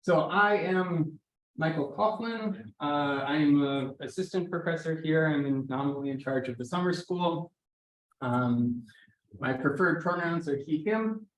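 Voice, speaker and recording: A man's voice: on the high side, a little slow. A man giving an informal, loosely worded introductory talk to students as the organizer of a summer school. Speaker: assistant professor leading a summer school. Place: indoor lecture room. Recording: echoey room.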